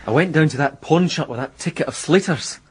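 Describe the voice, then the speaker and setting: A conscientious sergeant in a radio crime drama, deferential yet stubbornly doubtful. His voice is slightly high and husky. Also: a little fast. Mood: uneasy, questioning. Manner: deferential but persistent. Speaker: actor.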